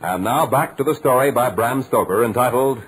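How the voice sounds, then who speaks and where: A man's voice: medium pitch, normal speed, a bit bright, slightly rough, poor audio. A man announcing a program in a scripted, formal 1940s radio style. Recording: old radio broadcast recording.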